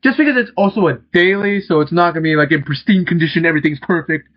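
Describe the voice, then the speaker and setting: A young man giving relaxed, rambling gameplay commentary, swearing casually as he talks. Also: on the high side, fast talker, dry recording, lo-fi sound. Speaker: gaming channel host.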